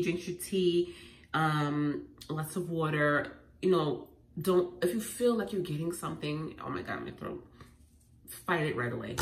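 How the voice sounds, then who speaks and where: A woman with a head cold, sounding congested, sharing home remedy tips in an animated, emphatic vlog at home. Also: deep voice. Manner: animated and emphatic, repeating phrases. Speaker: lifestyle vlogger. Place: at home indoors. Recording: echoey room, faint noise.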